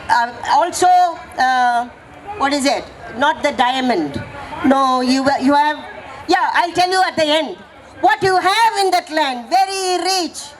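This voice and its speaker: Hoarse high-voiced woman rousing a protest crowd. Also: slight background noise, low-quality recording. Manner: rousing, with rhetorical questions to the crowd. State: hoarse from much speaking. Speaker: protest speaker. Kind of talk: impassioned rally speech. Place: outdoor protest gathering.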